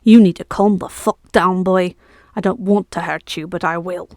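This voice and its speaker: A woman reading aloud, voicing a large stern male knight who gives gruff, sinister commands. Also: fairly low pitch, fairly even intonation, moderate pace, a little mellow, no room sound, studio quality, natural voice. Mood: menacing.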